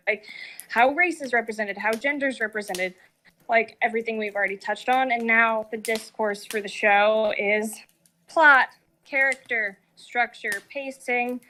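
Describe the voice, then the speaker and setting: A woman's voice: on the high side, bright, forceful tone. A woman in a casual analytical discussion, articulate but informal as she thinks aloud.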